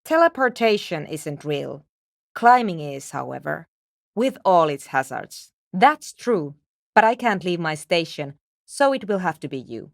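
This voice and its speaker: A woman's voice: low-pitched, very animated, measured pace, vocal fry, no room sound, clean studio sound, full character voice. A woman playing an earnest, exacting programmer and radio technician in a video game, speaking in precise, formal, clipped technical phrases. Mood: businesslike. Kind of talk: video game character dialogue.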